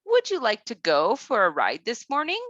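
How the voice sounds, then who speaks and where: A woman's voice: a little high, huge pitch swings, normal speed, fairly bright tone, dry recording, highs cut off, heavily acted. A woman voicing a big, jolly, obliging male grasshopper in a storybook, hearty and courteous. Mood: inviting.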